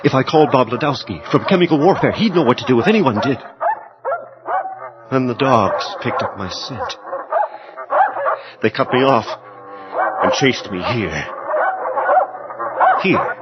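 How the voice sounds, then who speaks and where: A man's voice: medium pitch, expressive, a little slow, pauses often, heavy background noise, lightly acted. An actor playing a desperate scientist on the run, telling his story urgently and melodramatically in a suspense radio drama. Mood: tense, haunted. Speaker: narrator and lead character. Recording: dull lo-fi audio under loud music. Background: loud music.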